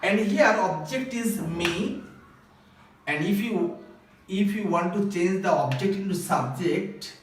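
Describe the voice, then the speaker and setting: A man with a Bangladeshi accent teaching a school English grammar lesson, explaining deliberately and emphatically phrase by phrase. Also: high-pitched, slight background noise. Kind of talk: recorded classroom grammar lesson. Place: echoey indoor room at a board. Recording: very echoey room, distant microphone.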